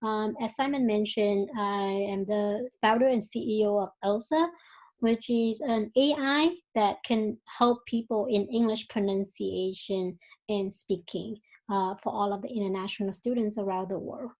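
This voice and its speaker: A woman's voice: medium pitch, steady tone, measured pace, a little mellow, rough recording. A woman from Vietnam with a Vietnamese accent, a company founder giving an earnest webinar presentation and telling her own story with frequent fillers over a video call.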